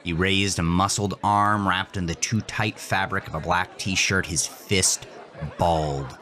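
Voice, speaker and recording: A man hosting and narrating a scripted horror story in an intense, deliberate, dramatic way. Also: deep voice, sharp tone, soft background music, some chatter behind. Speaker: host, author and narrator.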